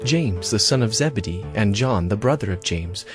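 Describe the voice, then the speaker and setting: An earnest man narrating scripture with a steady, deliberate delivery. His intonation moves animatedly up and down. Music is clearly audible behind him. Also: lowish voice.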